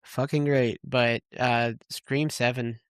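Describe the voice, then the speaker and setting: A man's voice: mid-range voice, flat delivery, slow pace, smooth, dry recording, studio quality. A man co-hosting a podcast in casual movie chat, rambling and blunt, swearing freely. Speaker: podcast co-host.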